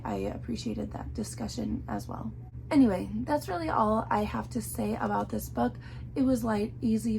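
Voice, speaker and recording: A young woman with a hoarse, worn-out voice, chatting casually through a book review with lots of filler words. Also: mid-range voice, dark tone, a little hiss or hum, poor audio. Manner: chatty, many "like" fillers, earnest.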